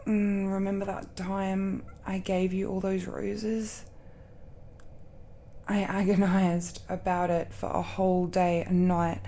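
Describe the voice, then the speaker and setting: Casting: female actor as affectionate dragon girl for romance audio drama, mellow, soft, with a creaky edge, shyly halting delivery. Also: medium pitch, level tone, slight background noise. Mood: tender, shy. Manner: hesitant, halting confession with nervous laughs and sighs. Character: affectionate, nervous young dragon-blooded woman confessing love. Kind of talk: intimate romantic audio roleplay.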